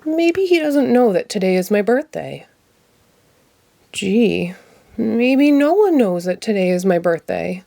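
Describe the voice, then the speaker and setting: A woman's voice: mid-range voice, very animated, takes it slowly, creaky, warm tone, heavily acted. A woman voicing a naive, affectionate young boy puppet from a children's storybook in an earnest, exclaiming way. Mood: wistful. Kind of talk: children's storybook read-aloud.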